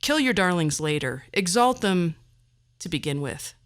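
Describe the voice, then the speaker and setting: A woman's voice: lowish voice, very animated, measured pace. A middle-aged woman talking conversationally as a podcast host, with wry, self-deprecating asides.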